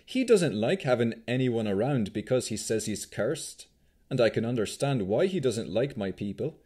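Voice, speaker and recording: A man's voice: mid-range voice, animated, normal speed, darkish tone, breathy edge. A man voicing a jaunty, self-assured female swashbuckling traveller with a fantasy accent, breezy and unbothered. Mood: candid. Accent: Vistani-style fantasy accent.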